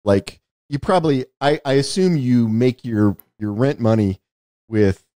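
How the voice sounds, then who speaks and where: A man's voice: medium pitch, animated, a little slow, warm tone, no echo. A man hosting a podcast with casual talk and questions, delivered with dry, blunt humor. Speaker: podcast host.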